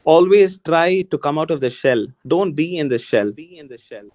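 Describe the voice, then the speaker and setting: A man's voice: a little high, animated, moderate pace, a little mellow, muffled recording. A man with an Indian English accent, a native Tamil speaker, talking to students in a casual, encouraging way. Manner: casual, encouraging, calls listeners man and guys. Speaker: alumni guest speaker. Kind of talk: motivational talk to students.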